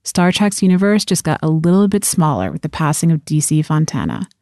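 Low-voiced woman narrating, close and dry. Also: very animated, a little fast, mellow, dry recording. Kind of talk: scripted documentary narration.

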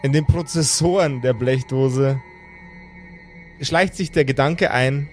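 A man's voice: mid-range voice, slow pace, soft background music. A man narrating an improvised audio play as game master, with dramatic storytelling.

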